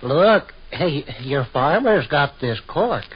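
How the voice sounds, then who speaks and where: High-pitched, folksy old uncle. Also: male voice, big pitch swings, slow pace, husky, full character voice. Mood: stubborn. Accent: American. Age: older. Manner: rustic, repetitive, emphatic, homespun turns of phrase. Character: folksy, stubborn, rambling old small-town uncle. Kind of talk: 1940s radio comedy acting. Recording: dull vintage 1940s radio recording.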